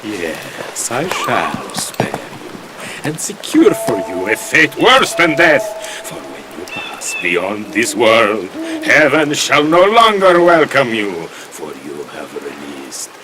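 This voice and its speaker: An actor playing a menacing, vengeful demon in a video game, with pompous, archaic, threatening delivery. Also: male voice, on the low side, huge pitch swings, takes it slowly, heavily acted. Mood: menacing, gloating. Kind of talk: video game cutscene acting. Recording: loud rain and noise behind.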